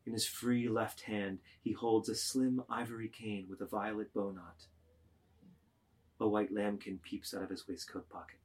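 A man narrating a literary work, reading stage directions aloud with measured, deliberate phrasing. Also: fairly low pitch, flat delivery, breathy edge.

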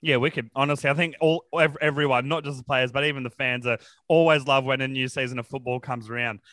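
Casting: male Aussie podcast interviewer, crisp clean tone, very dry sound, matey and keen, casual phrasing. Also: medium pitch, steady tone, fast, fairly bright tone, dry recording. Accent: Australian. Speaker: sports podcast host.

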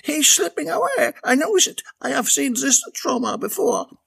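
A shrill, wailing countess. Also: male voice, sing-song, moderate pace, gravelly, fairly bright tone, exaggerated voice. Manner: wailing, theatrical. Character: shrill, melodramatic old countess.

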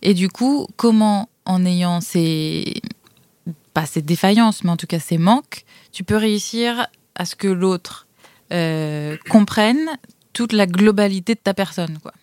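A woman's voice: lowish voice, moderate pace, a little mellow, dry recording. Reflective French-speaking woman, dry sound. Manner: reflective, searching for words. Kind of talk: casual discussion.

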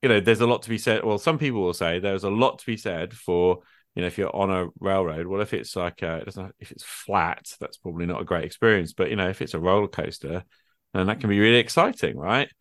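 A man's voice: sits low, brisk, fairly bright tone, no room sound, clean studio sound. A man speaking as a guest in a casual discussion, informal and rambling, often checking in with the listener. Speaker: guest, experienced game player.

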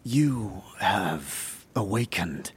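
A man's voice: low voice, animated, a little hiss or hum, heavily acted. A man playing a stoic, wise warrior-mage who speaks formally and cryptically in a fantasy audio drama. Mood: solemn, calm. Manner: slow, measured, formal, aphoristic.

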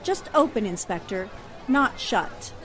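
An actress playing a polite, self-possessed and inquisitive newspaper reporter in a 1940s radio drama style. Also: female voice, mid-range voice, expressive, unhurried, close to an everyday voice. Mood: quietly determined. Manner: polite, crisply questioning. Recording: music and crowd murmur behind the voice.

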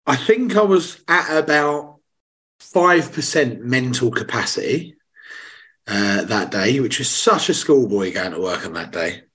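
Hoarse guy on a podcast, young, rambly and sweary, sounds wiped out. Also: medium pitch, sing-song, unhurried, a bit bright. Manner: tired, rambling storytelling with swearing. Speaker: podcast co-host. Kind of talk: casual chat.

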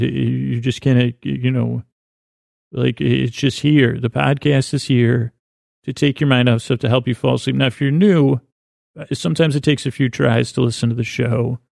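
An American man with a creaky voice hosting a sleep podcast, rambling in a halting, reassuring way as he speaks straight to the listener. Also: medium pitch, a little mellow, no echo, clean studio sound. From Syracuse, New York, United States. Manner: meandering, halting, stumbling over words, reassuring. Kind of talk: rambling bedtime monologue, addressing the listener.

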